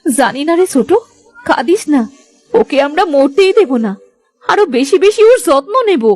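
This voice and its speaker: A woman's voice: high voice, animated, moderate pace, darkish tone. A woman playing a caring, protective young village daughter-in-law in a cartoon, speaking in an earnest, reassuring manner. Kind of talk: animated moral story acting.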